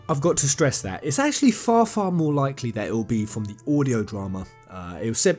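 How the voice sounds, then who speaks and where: A man's voice: medium pitch, a little mellow. A British man hosting casual fan commentary, talking to viewers in an emphatic, chatty, enthusiastic way. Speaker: video host.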